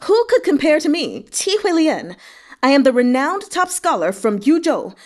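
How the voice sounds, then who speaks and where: A woman's voice: high voice, sing-song, moderate pace, voice pushed up. A woman voicing a proud, furious old male scholar in an impassioned, haughty storybook read-aloud. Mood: haughty defiance. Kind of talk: dramatic read-aloud of a historical novel.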